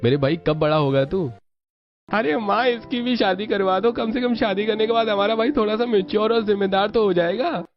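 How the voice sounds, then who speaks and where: A man's voice: high voice, normal speed, voice pushed up, lightly acted. A teasing young office-going brother from a cartoon family story, acted by a man. He talks in a youthful, bantering way. The recording is dull, with faint music under the voice. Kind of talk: Hindi cartoon story dialogue.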